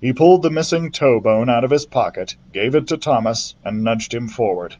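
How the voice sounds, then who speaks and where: A man's voice: mid-range voice, expressive, normal speed, a little mellow. A man reading a children's book aloud as a librarian storyteller, friendly and chatty, into a built-in laptop mic.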